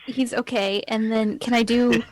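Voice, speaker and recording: A woman playing a tabletop role-playing game, hesitant and giggly, often pausing with "like" as she asks about her options. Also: a little high, level tone, low-quality recording. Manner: giggly, hesitant, lots of likes. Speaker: player. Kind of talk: casual tabletop game play.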